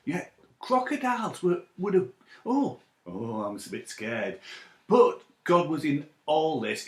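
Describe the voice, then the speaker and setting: A man chatting playfully and excitably to children as a story time presenter, asking them questions, in an echoey room. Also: on the high side. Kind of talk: playful chat addressed to children.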